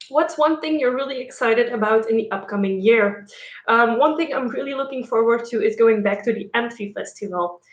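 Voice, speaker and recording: A woman's voice: fairly high pitch, echoey room. A young woman with a Dutch accent, a native speaker of Dutch and French, chatting casually with her viewers on a live stream, with many fillers. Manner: chatty, frequent fillers and laughs. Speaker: YouTuber.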